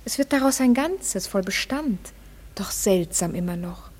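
An actress playing a gentle, thoughtful noble queen in a classic radio play, with soft, reflective verse. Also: medium pitch, expressive, unhurried, breathy edge, big-room sound. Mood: wondering.

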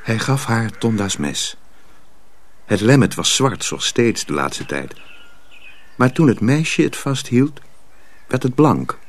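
A man's voice: medium pitch, big pitch swings, slow, pauses often. A man narrating a radio drama as a scripted storyteller.

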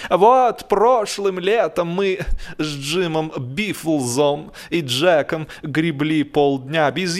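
A man's voice: high voice, pitched above the performer's own voice. A man voicing a lazy, boastful old rower, smug and nasal, in a comic audiobook.

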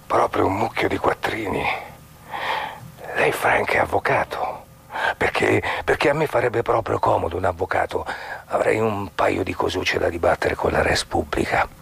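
An unsettling mental patient in a radio play. His voice is low, extremely husky and full of gravel, often sinking toward a whisper. He pries in a halting, sardonic, blunt way. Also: a bit bright, full character voice.

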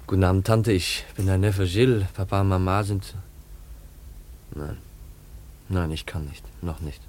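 A man's voice: sits low, steady tone, a little slow, a little mellow. A man playing a shy, proud, lonely young orphan in a 1950s German radio drama, hesitant and brooding, on a noisy old recording. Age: young adult.

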